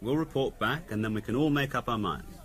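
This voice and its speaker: Actor as brisk, quick-talking English friend. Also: male voice, mid-range voice, fairly bright tone, lightly acted. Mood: reasonable. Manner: conciliatory, coaxing. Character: brisk, sociable, smoothing English family friend. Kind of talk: 1970s British radio drama acting.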